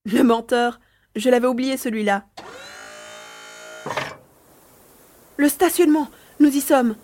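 A woman's voice: high-pitched, normal speed, darkish tone, a little hiss or hum, lightly acted. A woman playing a brave young boy on the run in an audio drama, urgently telling what happens. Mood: excited, urgent. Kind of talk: dramatised audio serial acting.

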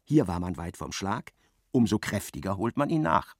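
A man reading scripted literary narration in a radio play, with expressive, dramatic storytelling. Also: low-pitched, normal speed, slightly rough, no echo, studio quality.

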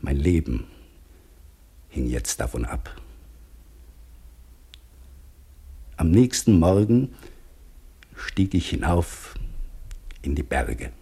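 An actor playing an anxious geology professor who wants to start over as a labourer, narrating a German radio play reflectively and in measured phrases. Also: male voice, extremely low pitch, sing-song, dark tone, breathy edge, full character voice. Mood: quiet dread. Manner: measured, reflective, dropping to near whisper.

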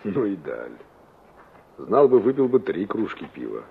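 Young engineer in radio drama, wry and musing, pitch swings all over, dull old recording. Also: male voice, big pitch swings, unhurried, vocal fry, husky, full character voice. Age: young adult. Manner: musing, ironic, polite. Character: young engineer revisiting village, wry and reflective. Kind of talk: radio play acting with inner monologue.

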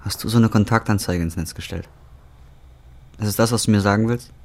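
Deep-voiced, brooding young man. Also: fairly quick, darkish tone. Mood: suspicious, hurt. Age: 20s. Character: troubled, bitter young man on drugs, brooding narrator. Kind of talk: dramatic first-person narration and radio drama dialogue.

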